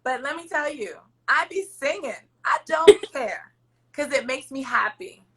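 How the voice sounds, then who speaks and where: A woman hosting a podcast, talking loudly and emphatically in an upbeat, encouraging way, with a raspy voice. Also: high voice, animated, measured pace, very noisy. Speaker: podcast host. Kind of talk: encouraging casual interview chat.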